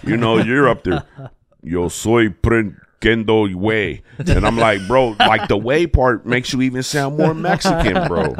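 A man's voice: fairly low pitch, unhurried. A man who is a comedian guest, telling exaggerated stories casually with slang and swearing. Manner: profane, slangy, animated joking with exaggeration. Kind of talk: casual podcast storytelling.

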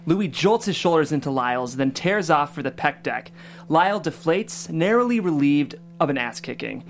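A narrator reads the stage directions at a script table read. The delivery is even and wry, at a middle pitch. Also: moderate pace, a little hiss or hum.

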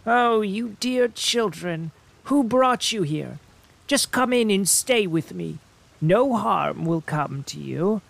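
A man voicing a wicked old witch who coaxes and then barks orders in a high, harsh voice. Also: animated, slow pace, gravelly, full character voice. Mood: sweetly coaxing. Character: wicked old witch woman, scornful, greedy.

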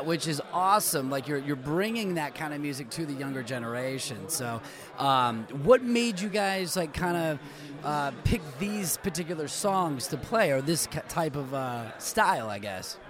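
Excitable podcast host, crowd around. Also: male voice, fairly high pitch, moderate pace. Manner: excitable, stumbling restarts. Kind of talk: enthusiastic interviewing.

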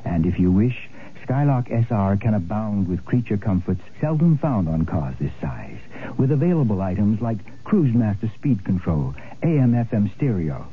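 A man's voice: fairly low pitch, big pitch swings, moderate pace. A man reading a scripted 1970s radio car commercial in a polished, persuasive announcer style. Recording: tinny old radio broadcast with faint noise.

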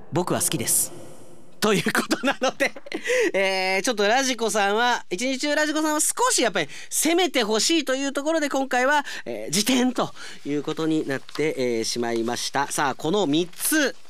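A man's voice: high voice, animated, a little fast, few pauses, a bit bright, clean tone, dry recording. A man hosting a radio segment, chatting and reading out listener mail as an announcer.

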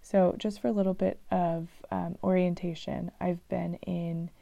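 A woman chatting casually in a travel vlog, rambling and relaxed, with frequent ums and restarts, on a dull, lo-fi recording. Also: fairly low pitch, measured pace, gravelly, no echo. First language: English. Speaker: vlogger.

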